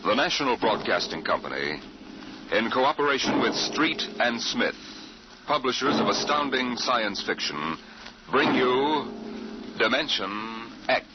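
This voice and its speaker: A man's voice: mid-range voice, very animated, unhurried, heavy background noise. A man announcing and narrating a science fiction radio drama in a solemn, portentous 1950s style. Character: grave male announcer narrating science fiction war tale. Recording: dull old radio recording, loud music.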